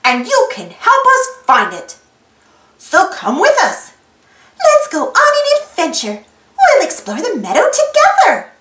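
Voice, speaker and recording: A woman reading a children's storybook aloud in an expressive, sing-song way, putting simple questions to young listeners. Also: extremely high pitch, a little slow, roomy sound, slight background noise. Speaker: storybook reader. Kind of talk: children's read-aloud narration.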